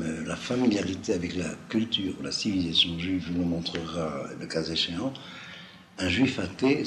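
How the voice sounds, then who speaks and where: A man from Algeria, a native Judeo-Arabic speaker with a slight Toulouse-like French accent, giving an unscripted, didactic lecture in a halting way with emphatic repetitions. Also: sits low. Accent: slight southwestern French (Toulouse-like). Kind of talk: extemporaneous lecture to listeners. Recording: roomy echo, faint background noise.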